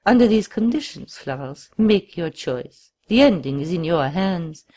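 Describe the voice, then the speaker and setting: A woman voicing a murderous crippled man who lurches between frantic pleading and furious shouting in an audiobook. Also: fairly low pitch, lively intonation, unhurried. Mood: cold menace. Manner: wild swings from pleading to shouting.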